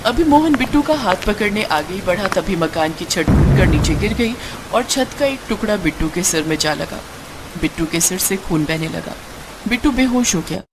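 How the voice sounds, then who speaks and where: A woman's voice: mid-range voice, animated, moderate pace, very noisy. A woman narrator reading a scripted story for a cartoon.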